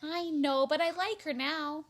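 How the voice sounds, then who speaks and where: A woman's voice: high-pitched, a little slow, voice pushed up. A woman voicing a plain-spoken, earnest young girl from a children's storybook. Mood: subdued.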